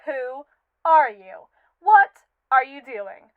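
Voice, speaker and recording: A woman's voice: high voice, sing-song, extremely slow, dry recording, narrow, tinny audio, exaggerated voice. A woman voicing a haughty, manipulative captive robot in a scripted ASMR roleplay, speaking in a pompous, wordy, theatrically indignant way. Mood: mocking.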